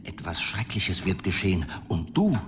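A man playing a fussy, excitable, self-important wizard in a radio drama. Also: sits low, moderate pace, noisy background. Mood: alarmed, urgent. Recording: long hall reverb, music behind.

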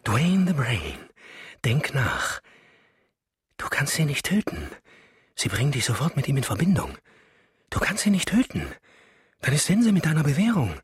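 An actor playing a volatile, vengeful ex-convict criminal in a German radio crime drama, terse and demanding. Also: male voice, medium pitch, wildly swooping pitch, moderate pace, long pauses, breathy edge, full character voice. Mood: brooding, intense. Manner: terse, demanding, talks to himself, erupting into rage. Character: volatile male ex-convict criminal on parole, seething with revenge. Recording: music behind the voice.

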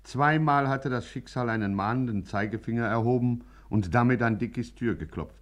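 Man as a mock-ominous, mid-pitched radio narrator. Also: natural voice. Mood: portentous. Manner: deliberate, portentous. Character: solemn, mock-ominous crime story narrator. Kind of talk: scripted 1950s German radio narration.

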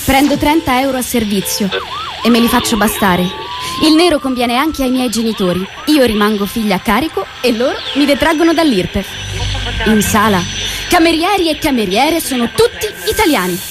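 A woman's voice: on the high side, animated, moderate pace, lightly acted. A young actress playing a sardonic young woman who scrapes by on odd jobs, in a declamatory stage monologue. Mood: matter-of-fact. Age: young adult. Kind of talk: theatrical monologue, list-like recitation. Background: music.

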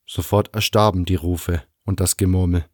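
A man's voice: deep voice, fairly even intonation, normal speed, no room sound, clean studio sound. A man reading scripted first-person narration for a fantasy audio drama.

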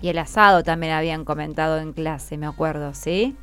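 A woman's voice: deep voice, brisk, a bit bright, clean tone. A woman with an Argentine accent hosting an unscripted radio interview, encouraging and prompting with short questions. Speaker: radio host interviewing schoolchildren. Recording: faint music behind. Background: faint music.

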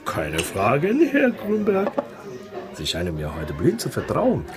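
An actor playing a courteous, gently teasing barman who knows his regular, in radio drama dialogue. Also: medium pitch, wildly swooping pitch, a little slow, over music.

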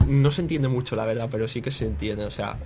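A man's voice: medium pitch, quick pace, creaky, background noise. A man around in Spain, hosting a community radio show in casual, joking chat that trails off into mumbles.

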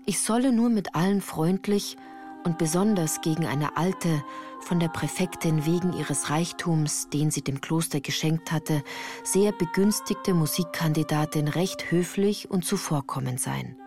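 A woman's voice: low voice, measured pace, darkish tone, soft background music. A woman reading scripted first-person literary narration for a radio play in a composed, articulate storytelling manner.

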